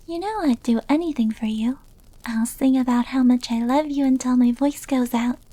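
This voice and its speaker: A woman playing a clingy, devoted young yandere maid in an ASMR roleplay, speaking softly and often slipping into a pleading whisper. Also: sits high, moderate pace, no room sound. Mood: adoring, pleading. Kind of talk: breathy ASMR roleplay acting.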